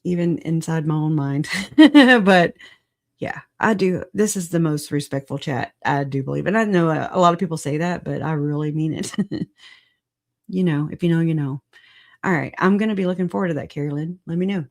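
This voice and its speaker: A livestream host with a raspy voice, hoarse after a recent illness, chatting casually and laughing a lot at themselves. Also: medium pitch, normal speed. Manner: laughs often, self-deprecating, chatty. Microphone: computer mic on streaming software.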